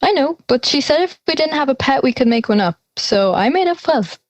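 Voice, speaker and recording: A woman's voice: sits high, sing-song, a little fast, no room sound. A young woman playing an anxious, exasperated teenage schoolgirl in conversational audio drama dialogue. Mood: anxious, sheepish. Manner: exasperated, worried. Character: anxious schoolgirl dreading a class presentation.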